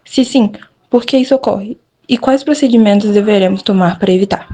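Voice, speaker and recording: A woman's voice: mid-range voice, fairly quick, mellow, a little hiss or hum. A woman with a Brazilian Portuguese accent, a student reading a school presentation and interview questions. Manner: reads from a script, plain and careful.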